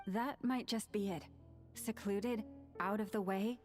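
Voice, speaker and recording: A woman voicing a calm, serious young fighter in a video game, measured and earnest, with battle grunts. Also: mid-range voice, soft background music, lightly acted.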